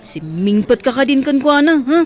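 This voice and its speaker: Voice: sits high, big pitch swings, moderate pace, faint music behind, a faint crowd. A performer playing a schemer's doubtful, questioning friend in an Ilocano radio comedy drama.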